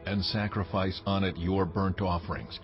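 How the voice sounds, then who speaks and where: A man playing God in a dramatized audio Bible, a solemn, commanding deity making slow, measured pronouncements. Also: deep voice, fairly even intonation, lightly acted. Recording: dull lo-fi audio under loud music.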